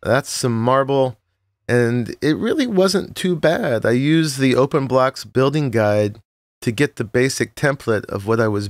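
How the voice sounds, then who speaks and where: A man with a slightly froggy morning voice, narrating a relaxed, rambling tour of his game world with many "uh"s and short laughs. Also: mid-range voice, no echo. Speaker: Minecraft let's-play host. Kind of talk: casual gameplay tour narration.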